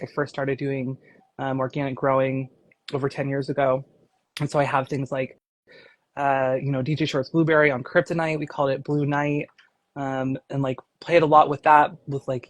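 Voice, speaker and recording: A woman, slightly raspy from a cold, talking casually as a grower and consultant in a livestream interview, with many fillers. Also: low-pitched, no room sound.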